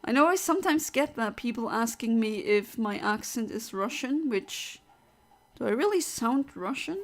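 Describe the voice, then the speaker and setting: A woman's voice: on the high side, expressive, moderate pace. A woman from Europe, a live streamer, chatting casually and answering her viewers' comments aloud while she plays.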